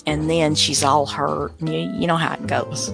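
A woman with a low voice talks casually and informally. Guitar music plays clearly behind her as she chats. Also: level tone, moderate pace. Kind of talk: casual chat.

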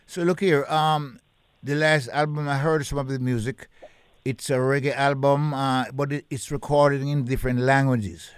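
Smooth-voiced radio host man. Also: mid-range voice, fairly even intonation, normal speed, dry recording. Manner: affirming, repeats himself, casual. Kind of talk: radio interview.

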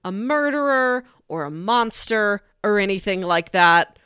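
A woman's voice: medium pitch, expressive, a little slow, a bit bright, smooth, no echo, studio quality. A woman casually discussing a movie as a podcast host, talking through her opinions.

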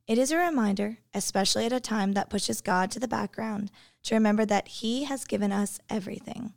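Creaky-voiced woman giving a devotion. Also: medium pitch, fairly quick, dry recording, studio quality. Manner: earnest, reflective, storytelling. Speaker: devotion speaker, English teacher. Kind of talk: personal devotional reflection.

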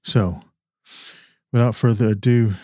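A man hosting a podcast who speaks earnestly and slowly, leaning hard on key words. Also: mid-range voice, steady tone, warm tone, no echo, studio quality. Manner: earnest, slow and emphatic. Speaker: podcast host.

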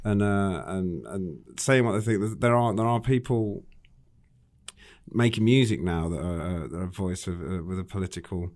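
Brief: male radio guest, earnest, halting delivery. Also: low-pitched, a little mellow. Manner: hesitant, many fillers and restarts, earnest. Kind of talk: casual radio chat.

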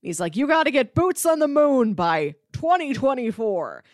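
A woman chatting casually as a podcast co-host, pitching shows to her partner. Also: sing-song, normal speed, darkish tone, no room sound, clean studio sound.

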